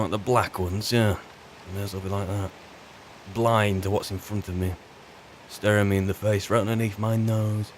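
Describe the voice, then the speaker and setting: Low-voiced rambling British adventurer, played by a man. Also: a little hiss or hum, close to an everyday voice. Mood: wry grumbling. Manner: rambling, musing, wry, halting. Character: weary, chatty male wizard's servant turned adventurer, grumbling wryly. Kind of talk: in-character game roleplay monologue.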